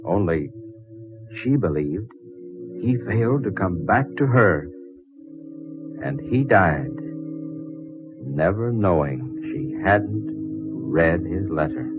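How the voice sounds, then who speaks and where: An American actor playing an astonished, excitable man in a 1940s radio drama, with a husky voice and dramatic delivery. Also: low-pitched, slow, long pauses, music in the background. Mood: solemn. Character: excited, astonished man making an attic discovery. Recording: tinny, dry vintage radio recording.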